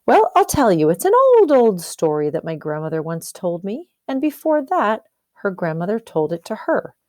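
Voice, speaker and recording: A woman's voice: mid-range voice, huge pitch swings, normal speed, darkish tone, no echo, clean studio sound. A woman teacher reading a storybook aloud expressively, explaining and asking questions as if to young pupils. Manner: explanatory, questioning, checks understanding.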